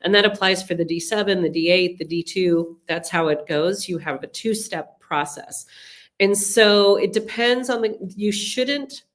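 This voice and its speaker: A woman's voice: medium pitch, measured pace. A middle-aged woman with a cold, hosting a live webinar Q&A as a relocation advisor and answering viewer questions in a chatty, explanatory way with frequent ums and restarts. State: has a cold, sniffling. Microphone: computer mic on a webinar call.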